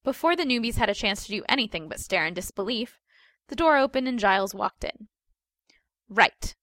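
A woman's voice: on the high side, animated, fast, a bit bright, no room sound, clean studio sound. A woman reading a story aloud as a scripted narrator. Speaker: fan fiction reader.

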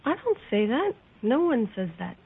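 A woman's voice: fairly high pitch, big pitch swings, normal speed. A woman playing a blunt, literal-minded character in a TV drama, sounding indignant. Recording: tinny, band-limited TV clip with noise.

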